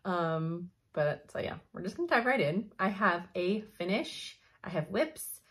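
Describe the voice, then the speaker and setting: A young woman giving a chatty cross-stitch update from her home craft room, her voice slightly hoarse, laughing often as she thinks aloud. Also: fairly low pitch, animated, unhurried. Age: young adult. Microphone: camera in front at desk.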